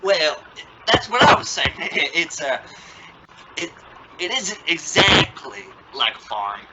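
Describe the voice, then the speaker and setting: A man acts an exasperated suburban father in old radio comedy style. He stammers and blusters in a highish, very animated voice. Heavy noise sits on the recording. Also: slow pace. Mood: sheepish. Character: flustered, exasperated, good-natured suburban father and husband. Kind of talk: old-time radio sitcom acting. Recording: very noisy radio-play recording.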